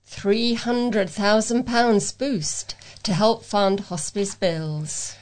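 A woman's voice: medium pitch, steady tone, extremely slow, a little mellow. A woman presenting and reading local news items aloud as a volunteer. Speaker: volunteer newsreader and presenter for a talking newspaper.

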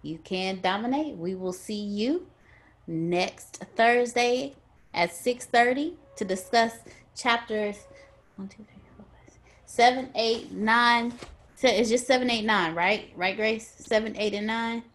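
Slow-talking woman hosting a group. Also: a little high. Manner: friendly, wraps up the meeting. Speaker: group host. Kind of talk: casual chat.

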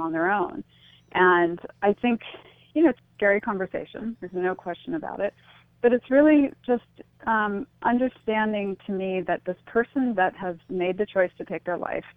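A woman's voice: on the low side, no echo. A middle-aged woman being interviewed as a radio guest, explaining her thoughts in an earnest, reflective way. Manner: earnest, explanatory, often saying "you know". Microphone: phone or call line into a radio show. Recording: thin phone-like line, dry.

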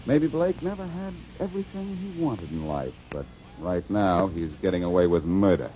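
A man's voice: low voice, very animated, normal speed, over loud music. An actor playing a wry, brooding male detective in an old radio drama. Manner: dry, wry. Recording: dull old radio broadcast with hiss.

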